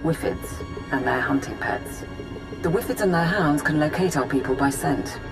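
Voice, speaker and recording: A female military intelligence officer in a video game, with a very low voice. She is pragmatic, dry-witted and businesslike as she briefs her commander. Music runs clearly behind her. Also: moderate pace. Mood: grave, factual. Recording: game audio under loud music. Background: orchestral game music.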